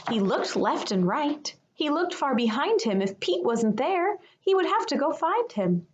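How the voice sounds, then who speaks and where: A woman reads a picture book aloud for young children. Her voice sits fairly high and swings widely in pitch, with an unhurried, expressive teacherly delivery. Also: lo-fi sound, noisy background. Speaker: kindergarten teacher reading aloud.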